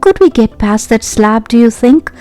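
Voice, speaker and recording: A woman's voice: on the high side, animated, moderate pace, dark tone, soft background music, pitched above the performer's own voice, natural voice. A woman voicing an anxious, caring young girl adventurer in a children's storybook, earnest and pleading. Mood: earnest, hopeful. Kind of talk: children's storybook character acting.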